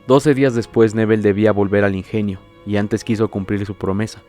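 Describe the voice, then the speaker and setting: Low-voiced man narrating an audiobook. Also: steady tone, a little mellow, soft background music. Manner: measured, literary storytelling.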